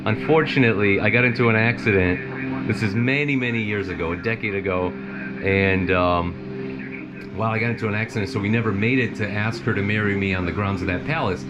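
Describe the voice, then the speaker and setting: A man's voice: fairly low pitch, fairly quick, loud music behind the voice, low-quality recording. A middle-aged man from Romania, chatting casually and rambling as he streams a flight and talks to his chat, laughing often. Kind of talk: casual live-stream commentary.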